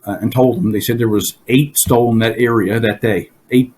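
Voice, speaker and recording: A hoarse man hosting a casual podcast from his basement, rambling through stories and laughing at his own jokes. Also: medium pitch, fairly even intonation, normal speed, darkish tone, a little hiss or hum. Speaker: podcast host. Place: home basement.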